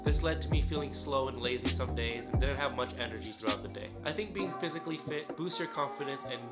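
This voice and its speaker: Congested young man vlogging fast. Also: medium pitch. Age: young adult. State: congested from a cold. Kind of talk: scripted reflective vlog. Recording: loud background music.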